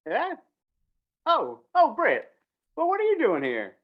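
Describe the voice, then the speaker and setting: A woman's voice: high-pitched, wildly swooping pitch, slow pace, muffled recording, heavily acted. A woman acting a flustered, wheedling small-town male undertaker in a 1950s-style Western radio play, stammering in rustic speech. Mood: startled. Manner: stammering, pleading, rustic grammar.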